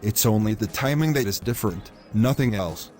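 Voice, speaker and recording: A man's voice: fairly low pitch, normal speed, a little mellow, faint music behind, lightly acted. A man playing a pushy, selfish young boyfriend in a scripted moral story, speaking in formal, wordy, insistent phrases. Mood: insistent, aggrieved.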